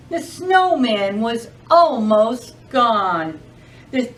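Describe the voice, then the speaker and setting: A woman reads a picture book to children in a fairly high voice. Her intonation swings widely and her delivery is unhurried and expressive, as at a library storytime. The microphone sits some way from her. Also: distant mic. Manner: expressive, addresses children with questions. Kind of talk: children's storybook read-aloud.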